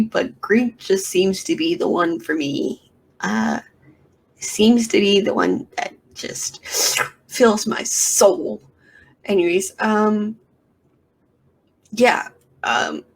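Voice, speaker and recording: A woman's voice: medium pitch, poor audio. A woman hosting a casual solo podcast from her bedroom, rambling with lots of fillers and restarts into a sensitive microphone. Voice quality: raspy. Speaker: podcast host. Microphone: sensitive studio microphone.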